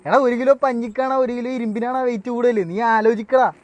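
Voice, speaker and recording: High-pitched, exasperated Malayali man on a call. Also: fairly even intonation, brisk, a little mellow, no echo, highs cut off. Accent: Kerala Malayalam. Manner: exasperated, teasing, repeatedly questioning. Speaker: prank-style phone caller posing a riddle. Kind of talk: casual phone banter.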